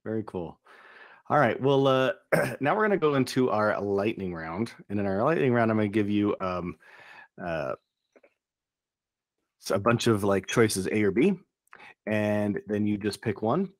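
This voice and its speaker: A middle-aged man hosting a casual interview over a video call, upbeat with quick reactions, speaking into a studio mic. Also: on the low side, dry recording. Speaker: interview host. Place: home studio. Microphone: boom studio microphone with headphones.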